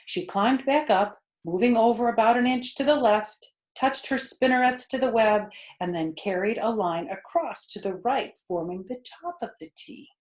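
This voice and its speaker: An older woman reading a children's storybook aloud, with expressive, deliberate phrasing. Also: fairly high pitch. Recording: echoey room.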